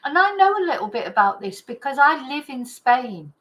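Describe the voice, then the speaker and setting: A woman's voice: sits high, sing-song, normal speed, a bit bright. A woman with an English accent giving her opinions in a casual, rambling and emphatic chat, as the host of her own show. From Britain. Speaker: true crime chat host.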